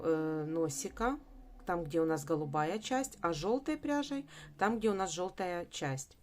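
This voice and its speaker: A woman's voice: on the low side, a little mellow, slight background noise. A woman hosting a craft tutorial, explaining each step in a methodical way. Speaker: crochet tutorial host.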